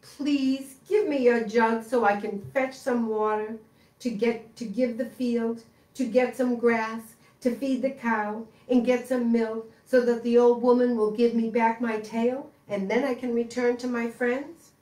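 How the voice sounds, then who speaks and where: Storybook fox, male, young, begging, pitched up high, put on by a woman reader. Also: steady tone, unhurried, mellow, close to an everyday voice. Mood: pleading. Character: pleading young male fox who lost his tail. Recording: roomy echo, far from mic.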